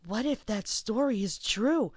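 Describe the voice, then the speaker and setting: A woman's voice: fairly high pitch, expressive, unhurried, husky, no echo, studio quality. A woman voicing a timid, easily frightened young male train engine in a storybook, anxious and exclaiming, over music. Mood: timid, worried. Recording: music under the voice.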